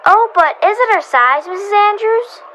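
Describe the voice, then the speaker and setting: A woman plays a sweet, polite teenage girl in an old-time radio comedy. Her voice is extremely high, bright and animated, hesitant and earnest, with faint background noise. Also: normal speed, low-quality recording. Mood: polite, helpful. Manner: hesitant, golly-gee wholesome.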